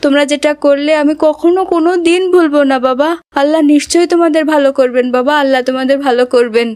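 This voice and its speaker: Young mother female actor, children's cartoon: high-pitched, devout, fervent, highly emotional. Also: fast, a little mellow, close to an everyday voice. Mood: relieved, grateful. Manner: pleading, repeats phrases fervently.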